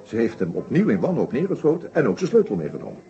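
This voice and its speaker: A methodical, shrewd police inspector laying out his case in a radio play. The voice is soft-edged and moves up and down with animation, pausing deliberately over small hesitations. The old recording sounds dull. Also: mid-range voice, huge pitch swings. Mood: grave, explaining. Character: shrewd, methodical male detective inspector summing up a murder case. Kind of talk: Dutch radio play acting.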